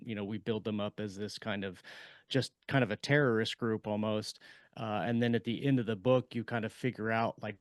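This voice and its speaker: A man with a smooth, clear, fairly low voice talks casually as a podcast panelist. He is halting and restarts his phrases, in a dry recording. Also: dry recording. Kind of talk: casual discussion.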